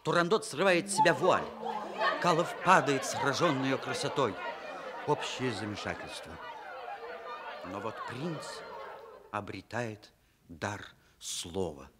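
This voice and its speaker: An actor playing a storytelling narrator who describes the action of a radio play. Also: male voice, mid-range voice, animated, slow pace, pauses often. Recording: loud music and crowd behind voice.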